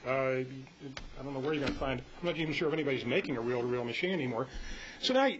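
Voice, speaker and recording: An older man giving an informal, rambling technical talk at a club meeting, halting and full of anecdotes. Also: mid-range voice, lively intonation. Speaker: club speaker presenting on audio tape. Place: indoor club meeting, live audio recording. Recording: muffled, slightly noisy meeting-room recording.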